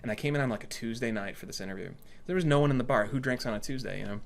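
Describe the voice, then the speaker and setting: A man chatting casually and telling stories in an animated way, acting out what people said to him. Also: mid-range voice, rapid-fire, gravelly, a little hiss or hum. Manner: animated storytelling, acts out reported dialogue. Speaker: storyteller in conversation. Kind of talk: casual anecdotal chat.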